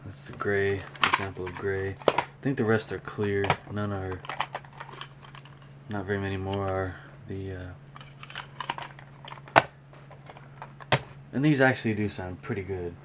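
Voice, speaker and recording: A man talking casually and unscripted in a hobby show-and-tell vlog, with a rambling, halting delivery. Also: sits low, fairly even intonation. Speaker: hobby vlogger, cassette collector. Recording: clear background noise.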